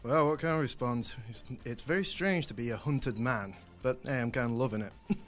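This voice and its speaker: A man's voice: mid-range voice, normal speed, faint music behind. A young man from Cumbria, a blind date contestant, laid-back and joking. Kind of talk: casual chat.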